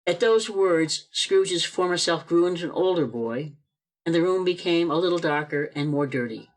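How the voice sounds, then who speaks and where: A man narrating an amateur radio play with expressive storytelling, read over a video call. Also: on the high side, moderate pace.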